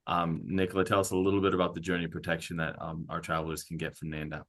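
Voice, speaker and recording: A man moderating a travel webinar, briskly prompting the others with questions. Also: low-pitched, completely flat delivery, a bit bright, slight background noise.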